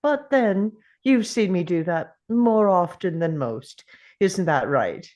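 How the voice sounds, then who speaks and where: A woman plays an ancient, brooding time traveller in a drama table read. The delivery is theatrical and defiant, with a showman's sardonic edge. It moves slowly, with deliberate pauses and a very lively, swinging pitch, a little on the low side. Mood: cocky, instructive.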